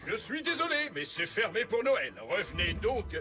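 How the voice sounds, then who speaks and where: A man's voice: high-pitched, very animated, moderate pace, loud music behind the voice. A man voicing a good-humoured, obliging grown-up motorbike in a children's cartoon, with exaggerated delivery. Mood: friendly. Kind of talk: animated children's cartoon dialogue.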